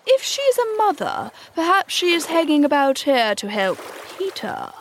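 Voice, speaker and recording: A woman's voice: sits high, huge pitch swings, moderate pace, a little hiss or hum, pitched above the performer's own voice. A woman voicing a suspicious male pirate crewman in a storybook, wary and insistent.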